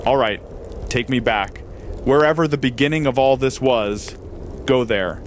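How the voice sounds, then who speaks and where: A man's voice: mid-range voice, measured pace, a little hiss or hum, close to an everyday voice. A man playing an earnest, attentive interviewer in an audio drama, probing with short questions. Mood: curious, probing. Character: earnest, attentive male time-traveling interviewer. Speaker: interviewer host.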